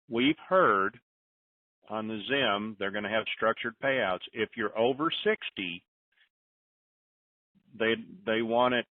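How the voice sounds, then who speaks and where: A man's voice: lowish voice, no room sound. A man hosting a call-in conference, talking informally in a folksy way and handing out advice. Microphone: telephone conference line. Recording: muffled phone line, distant mic.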